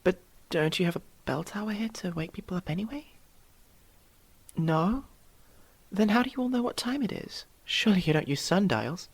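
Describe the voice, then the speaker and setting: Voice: medium pitch, lively intonation, moderate pace, slightly rough. A performer voicing a curious, proper young elf mage student in an ASMR roleplay, inquisitive and a little formal, with hesitant stammers. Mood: startled, puzzled. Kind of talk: ASMR roleplay, one-sided dialogue with the listener.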